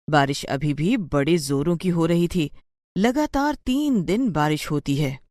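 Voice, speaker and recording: Female storyteller for bedtime moral tales, animated mid-range voice, dry studio-like sound. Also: sits low, dry recording. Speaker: narrator. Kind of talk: scripted children's story narration.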